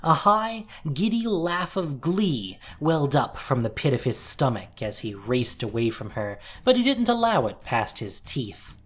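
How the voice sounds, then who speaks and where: A woman with a very deep voice reads dramatic fantasy fiction. Her delivery is slow and deliberate, with theatrical intensity. The pitch moves widely across phrases. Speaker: narrator.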